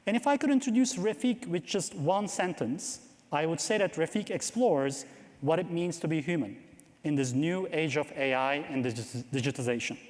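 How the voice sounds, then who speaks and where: A man introducing a keynote speaker in a measured, formal way from a lecture hall. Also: mid-range voice, animated, a little mellow. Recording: hall reverb.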